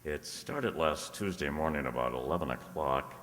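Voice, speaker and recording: Man acting a radio private eye, extremely low voice, dry, world-weary narration, echoing hall. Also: animated, moderate pace, a bit bright. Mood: wry. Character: wry, world-weary New York private detective narrating his case. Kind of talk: scripted first-person detective radio narration. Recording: echoey hall with long reverb.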